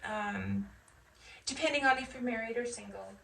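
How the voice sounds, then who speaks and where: High, bright American woman with some creak. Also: normal speed. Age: middle-aged. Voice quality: soft voice. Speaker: military veteran interviewee. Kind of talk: casual personal storytelling. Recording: distant mic with faint noise.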